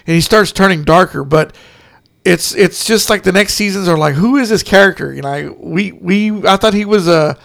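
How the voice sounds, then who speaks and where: A man in casual chat as a podcast host, talking in a hesitant, halting way and repeating himself. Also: high voice, creaky, a bit bright.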